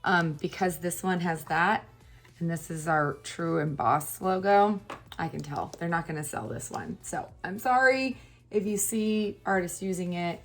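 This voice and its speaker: A woman with a hoarse, partly lost voice, chatting casually and laughing at herself while doing her makeup on camera. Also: on the low side, unhurried. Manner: chatty, self-deprecating, laughs often. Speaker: beauty YouTuber. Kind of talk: casual get-ready-with-me chat.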